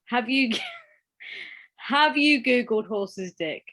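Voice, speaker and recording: A woman's voice: fairly high pitch, lively intonation, very slow, a bit bright. A woman in a casual chat, skeptical and teasing, laughing often. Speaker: podcast co-host.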